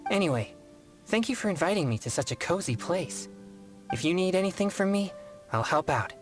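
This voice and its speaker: A serious teenage boy exorcist from a video game. The manner is formal, courteous and very earnest. The pitch moves in lively swings, with soft music behind the voice. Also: brisk. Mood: polite, composed. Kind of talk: scripted video game voice acting.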